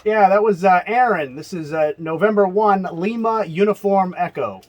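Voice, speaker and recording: Man, raised pitch, ham radio operator, hesitant conversational radio calls. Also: moderate pace. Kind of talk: radio call and casual reply.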